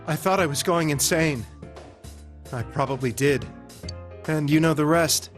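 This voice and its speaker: An actor playing a remorseful, weary man in a video game, speaking in a subdued, halting, earnest way. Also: on the high side, faint music behind, natural voice. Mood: guilty, haunted. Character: remorseful, weary male ex-political hopeful confessing his crimes. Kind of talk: English game voice acting.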